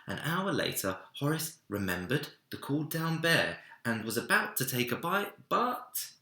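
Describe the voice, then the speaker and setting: A man reading a children's story aloud in an expressive narrating style, recorded in an echoey room. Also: medium pitch, a little slow. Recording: roomy, echoey indoor sound.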